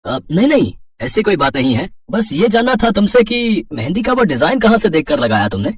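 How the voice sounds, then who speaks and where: A man's voice: high-pitched, big pitch swings, fairly quick, breathy edge, no echo, studio quality, far from the mic, exaggerated voice. A man playing a courteous, appreciative factory owner in a story, confident and businesslike.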